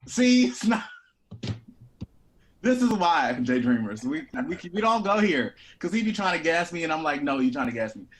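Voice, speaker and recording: A man speaking as an actor being interviewed, candid, reflective and expressive in casual conversation. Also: a little high, moderate pace.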